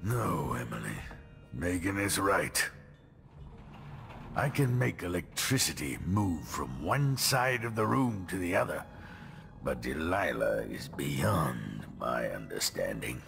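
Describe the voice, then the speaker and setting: Slow, gravelly old man. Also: a bass voice, big pitch swings, a bit bright, in a large hall, faint music behind, full character voice. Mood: weary, grave. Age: older. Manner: slow, halting, grave storytelling. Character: weary, battered old male genius inventor, grave. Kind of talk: video game voice acting.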